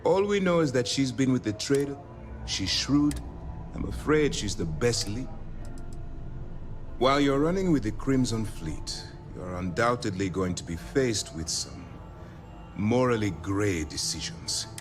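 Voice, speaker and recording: An actor playing a stern, measured military commander in a video game, speaking with grave, deliberate authority. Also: male voice, mid-range voice, sing-song. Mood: firm. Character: stern, measured male military commander briefing an undercover operative. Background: background music.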